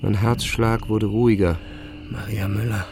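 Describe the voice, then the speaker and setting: Slow, low-voiced male audiobook narrator. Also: steady tone, background noise. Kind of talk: first-person literary narration.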